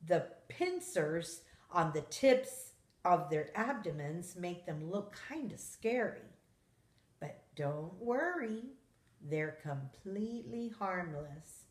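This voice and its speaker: Female read-aloud for children's nonfiction: slightly low voice, slow careful storytelling delivery, noticeable room echo. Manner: measured, expressive storytelling for children.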